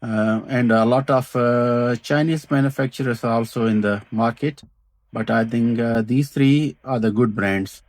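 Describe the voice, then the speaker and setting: A man who is not a native English speaker casually presents a product demonstration, speaking unscripted in short, halting phrases with hesitant pauses. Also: medium pitch, steady tone, darkish tone. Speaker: vintage radio hobbyist presenter.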